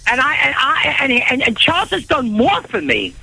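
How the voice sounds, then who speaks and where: Brash, high, swearing phone guest. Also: male voice, lively intonation, moderate pace, creaky, bright, forceful tone. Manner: profane, stammering, boastful. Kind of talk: brash casual radio chat.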